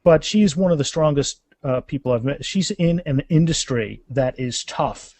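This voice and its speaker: A man's voice: on the high side, moderate pace, darkish tone, no room sound. A man speaking as an author and interview guest, telling anecdotes in a casual, rambling conversational way.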